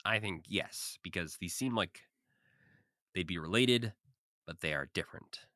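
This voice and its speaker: A man's voice: deep voice, unhurried, bright, forceful tone, no room sound, studio quality. A man co-hosting a podcast, casually recapping and commenting with a wry, joking manner. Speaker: podcast co-host.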